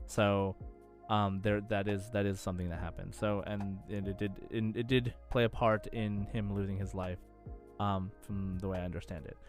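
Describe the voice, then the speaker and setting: Chatty man, halting delivery, faint music. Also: fairly low pitch. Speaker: podcast co-host and quiz contestant. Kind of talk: casual, chatty video game lore quiz podcast.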